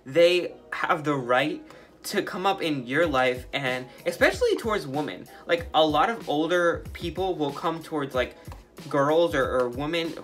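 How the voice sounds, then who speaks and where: A man's voice: fairly high pitch, animated, unhurried, fairly bright tone, faint music behind. A young man with a light, feminine-sounding voice, casually sharing his opinions with many filler words as he makes slime. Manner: talkative and opinionated, heavy use of "like". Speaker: vlogger. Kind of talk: casual opinion chat while making slime.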